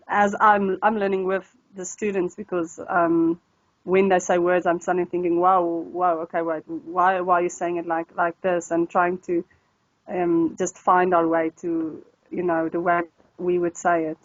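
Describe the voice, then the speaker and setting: A woman with an Afrikaans accent talks as a language tutor, her voice sitting a little low. She is chatty and laughs often, restarting her sentences as she goes. The audio is dry and dull, as on a lo-fi online call. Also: level tone, a little slow, no room sound. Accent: South African Afrikaans. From South Africa. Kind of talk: casual interview conversation.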